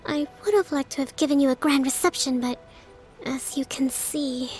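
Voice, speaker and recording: A woman's voice: sits high, normal speed, darkish tone, soft background music, close to an everyday voice. A woman voicing a dutiful young female island leader and priestess in a video game, speaking formally and with composure. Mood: composed, earnest.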